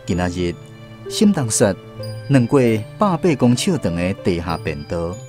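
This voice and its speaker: A man's voice: low voice, sing-song, unhurried, darkish tone, faint music behind. A man with a Taiwanese accent narrating a scripted literary radio drama as a storyteller.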